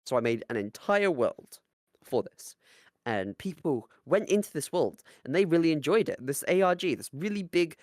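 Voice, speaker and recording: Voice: medium pitch, big pitch swings, normal speed, no room sound, clean studio sound. A giggly, self-mocking podcast co-host who swears casually while chatting.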